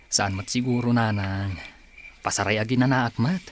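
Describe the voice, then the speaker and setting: A man's voice: fairly low pitch, steady tone, normal speed, a little hiss or hum, lightly acted. A man playing a dutiful, hardworking son in an Ilocano audio drama. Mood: respectful, reassuring. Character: dutiful, respectful hardworking adult son and masseur.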